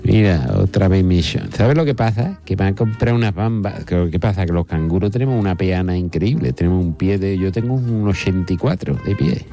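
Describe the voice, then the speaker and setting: A man's voice: low voice, expressive, a little fast, hardly any pauses, warm tone, faint music behind. A man voicing a cheeky, foul-mouthed female kangaroo in an improvised comedy sketch, rambling and joking. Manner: rambling, joking, crude asides.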